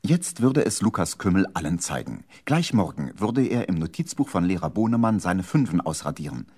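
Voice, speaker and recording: A male narrator with a low voice tells a seventies children's radio tale. His delivery is theatrical and emphatic, and his pitch moves in a lively, wide range. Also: normal speed, faint music behind. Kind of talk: scripted storytelling for a 1970s children's radio play.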